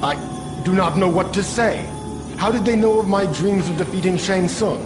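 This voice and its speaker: A man's voice: sits high, moderate pace, loud music behind the voice, natural voice. A male actor playing an earnest, polite martial arts hero in a fighting game, formal and respectful. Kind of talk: fighting game cutscene acting.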